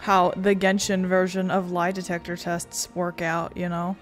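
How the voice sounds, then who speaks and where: A woman's voice: fairly low pitch, level tone, measured pace. A woman giving casual commentary as she plays a video game. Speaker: let's player. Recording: faint game music behind.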